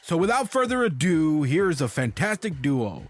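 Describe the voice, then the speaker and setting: A man's voice: on the high side, sing-song, normal speed, no room sound. A man announcing a show in a scripted intro style. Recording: loud music under the voice. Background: loud music.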